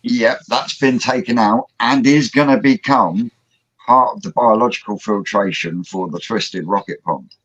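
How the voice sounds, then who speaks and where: Deep-voiced man, rambling through details. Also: measured pace, a bit bright, dry recording. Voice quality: rambling, technical explanations with many fillers. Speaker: host. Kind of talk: casual livestream chat. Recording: dull lo-fi audio.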